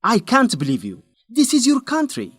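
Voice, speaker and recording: An actor playing an earnest, principled young man who urges his friend in a radio drama, with an animated, scolding manner. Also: very high-pitched, moderate pace, breathy edge, no room sound, faint music behind, heavily acted. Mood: pleading, scolding. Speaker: friend and fellow researcher.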